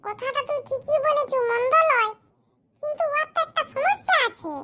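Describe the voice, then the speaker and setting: A performer voicing a squeaky, indignant young man in a comedy cartoon, bickering. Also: moderate pace, fairly bright tone, slight background noise. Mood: scheming, bickering. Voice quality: squeaky, artificially high voice. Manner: scolding, bickering, rhetorical questions. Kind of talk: exaggerated comic cartoon dialogue in rural dialect.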